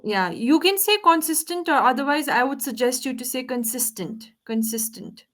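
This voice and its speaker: Woman english tutor on a video call, kinda high voice, patient, keeps asking to say words again. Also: expressive, normal speed, a bit bright. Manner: patient, corrective, asks learner to repeat words. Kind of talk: online one-on-one English lesson.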